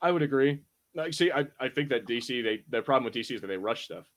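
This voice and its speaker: A man's voice: medium pitch, expressive, fast. Informal man hosting a podcast. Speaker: podcast host. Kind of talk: casual chat.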